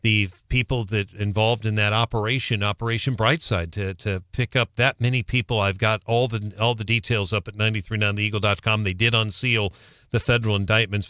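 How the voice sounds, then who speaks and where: Low-voiced man chatting on morning radio. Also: bright, forceful tone, no room sound. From St. Louis, Missouri. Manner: rambling, restarting sentences, chatty. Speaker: radio news reporter. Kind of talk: casual morning radio chat.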